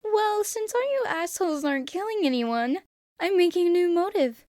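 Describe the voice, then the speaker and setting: A woman's voice: very high-pitched, expressive, moderate pace, a little mellow, no room sound, studio quality, pitched above the performer's own voice. A woman voicing a gleefully sadistic robot bear mascot who taunts as it makes announcements, in an anime fan story. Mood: gleeful menace.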